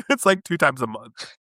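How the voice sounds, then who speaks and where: A man co-hosting a podcast in casual chat, husky from a head cold, laid-back and rambling. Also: mid-range voice, sharp tone, dry recording, studio quality. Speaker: podcast co-host.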